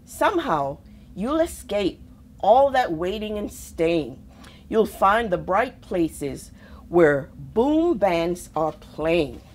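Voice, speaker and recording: A woman reading a children's picture book aloud in a deliberate, expressive way, with pauses between phrases. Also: on the low side. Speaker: storybook reader, army officer.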